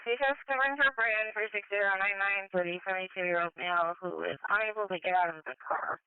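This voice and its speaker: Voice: mid-range voice, fast talker, clean tone, no echo. An emergency dispatcher reading out calls in radio dispatch style over a two-way scanner channel. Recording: narrow, phone-like scanner radio.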